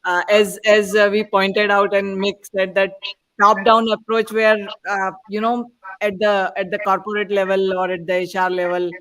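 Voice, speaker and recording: A speaker with an Indian accent, talking conversationally as a panelist in a discussion. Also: male voice, sits high, little pitch movement, normal speed, a bit bright, low-quality recording. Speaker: podcast panelist.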